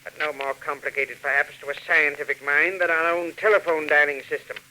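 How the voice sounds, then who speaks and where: An actor playing a shrewd, mercenary villain in a 1940s radio drama, speaking in a precise, theatrical, imperious way. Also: male voice, on the high side, level tone, brisk, sharp tone. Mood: proud, showy. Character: shrewd, mercenary male villain selling a stolen robot. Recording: noisy old 1940s radio recording.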